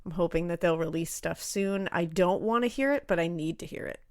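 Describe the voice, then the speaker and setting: Brief: Boston woman, podcast co-host, casual, animated, dry studio sound. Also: fairly low pitch, fairly quick, no room sound. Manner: animated, swears freely, laughs often.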